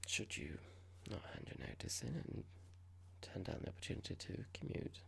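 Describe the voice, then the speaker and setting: A performer playing a cold, smug corporate boss in a whispered ASMR roleplay, speaking slowly and deliberately with breathy creak and mock politeness. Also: low-pitched, very animated, slight background noise, full character voice. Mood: smug, condescending.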